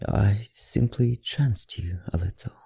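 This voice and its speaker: A man voicing a suave, softly predatory vampire librarian in an intimate ASMR roleplay, with a courtly, teasing manner and an affected accent. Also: slow pace, no echo, clean studio sound, heavily acted. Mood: softly menacing. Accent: affected, non-native accent. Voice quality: low, dark, gravelly murmur.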